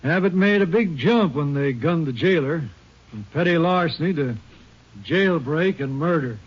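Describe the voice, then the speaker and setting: An actor playing an easygoing small-town sheriff in a 1950s radio drama, speaking in a laconic, matter-of-fact way, heard through a muffled old recording. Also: male voice, a little high, expressive, moderate pace.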